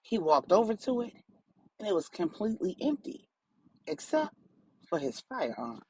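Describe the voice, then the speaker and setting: Woman telling a dramatic story, lots of swoops, pauses between phrases, some noise behind her. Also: medium pitch, sing-song, warm tone, poor audio. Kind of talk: dramatic bedtime-story narration for grown-ups.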